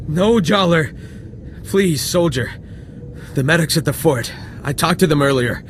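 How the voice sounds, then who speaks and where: An actor playing a desperate, sick villager and devoted husband in a video game, emotional and faltering. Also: male voice, fairly high pitch, expressive, a little slow, a little hiss or hum. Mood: desperate pleading. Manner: pleading, stammering, emotional. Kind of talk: video game dialogue acting.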